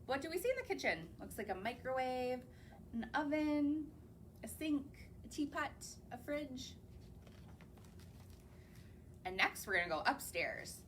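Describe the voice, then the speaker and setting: A woman's voice: sits high, fairly bright tone, slight background noise. A young woman, a children's librarian, reading picture books aloud in a library in a sing-song way and asking the children questions. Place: public library.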